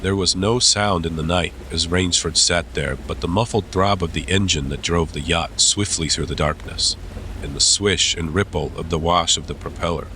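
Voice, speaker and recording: A man narrating a dramatized audiobook in a measured, suspenseful storytelling style. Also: low-pitched, steady tone, pushed and bright, slight background noise.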